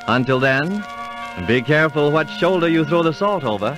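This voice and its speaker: A man's voice: a little high, animated, normal speed, over loud music. A man announcing a scripted old-time radio series in a formal, explanatory manner.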